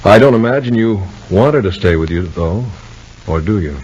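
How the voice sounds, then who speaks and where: A man's voice: on the low side, expressive, normal speed, darkish tone, husky. An actor playing an easygoing, protective married man in a 1950s radio soap opera, talking in a folksy, conversational way. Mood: gently concerned. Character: Bill, easygoing married man and protective older-style brother. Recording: dull, noisy old radio recording.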